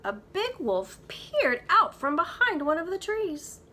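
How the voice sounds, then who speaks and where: A woman's voice: extremely high pitch, sing-song, slow, pushed and bright. A woman preschool teacher reading a storybook aloud to young children, with dramatic emphasis.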